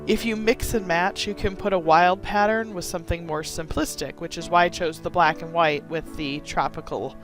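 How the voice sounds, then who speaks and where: A hoarse woman from Wisconsin hosting a casual card-making tutorial, talking with gushing enthusiasm. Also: lowish voice, fairly even intonation, normal speed, few pauses, music in the background. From Wisconsin, USA. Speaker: craft channel host.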